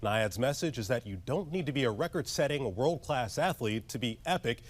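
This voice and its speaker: A man's voice: medium pitch, animated, fairly quick. A man with an American accent narrating scripted television news as an anchor.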